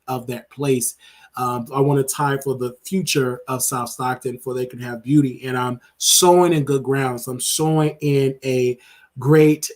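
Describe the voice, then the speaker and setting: A man from Chicago speaking earnestly straight to his viewers. His delivery is flat and even, and it stalls on frequent ums and uhs as he makes his case. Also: medium pitch. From Chicago, Illinois. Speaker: show host. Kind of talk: political endorsement address to viewers.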